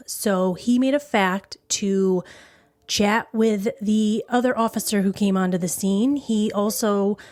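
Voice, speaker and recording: A woman podcast host narrates a true crime story with music behind her. Her pitch is average and her delivery is conversational and informal, pausing to recap and stress points. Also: a little mellow. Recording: background music under voice.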